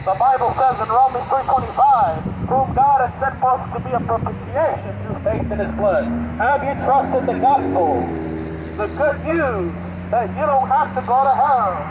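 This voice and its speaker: A young man street preaching through a megaphone beside a busy highway, urgent and pleading as he quotes scripture. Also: moderate pace. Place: roadside by a busy highway outdoors. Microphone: handheld megaphone, camera a few meters off. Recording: muffled outdoor recording with loud traffic. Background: loud passing traffic.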